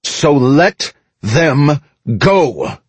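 A man's voice: on the high side, animated, normal speed, breathy edge, no room sound, pitched above the performer's own voice. Man acting an earnest, urgent soldier, raised voice. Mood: pleading, firm. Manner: emphatic, loud appeals. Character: earnest male soldier, urgent, pleading, firm with frightened crowds. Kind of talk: dramatised audiobook dialogue.

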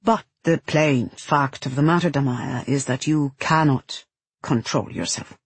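A woman voicing a big, calm male guardian in an audiobook, pleasant and matter-of-fact with quiet menace. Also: extremely low pitch, a little slow, breathy edge, dry recording, full character voice. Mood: calm, firm. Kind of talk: audiobook character voice.

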